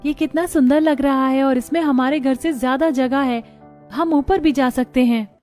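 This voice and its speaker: A woman's voice: high voice, fairly even intonation, moderate pace, a little mellow, faint music behind, natural voice. A woman voicing a hopeful, encouraging young woman in a family cartoon.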